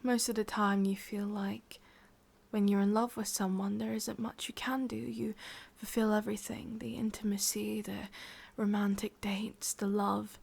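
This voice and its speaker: A woman with an English accent voicing an ancient, possessive, wistful witch in a slow, intimate, breathy ASMR whisper. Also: medium pitch, flat delivery, a little mellow. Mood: melancholy, musing. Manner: slow, intimate, musing, with lingering pauses. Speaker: ASMR roleplay performer. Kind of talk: whispered ASMR roleplay monologue.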